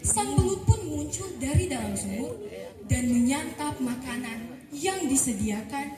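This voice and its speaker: A teenage girl from eastern Indonesia telling a folktale in a slow, careful, sing-song storytelling voice. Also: sits high, animated, far from the mic. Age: teens. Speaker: student storyteller. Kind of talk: folktale narration. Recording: echoey room, voice set back from the mic. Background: music playing behind the voice.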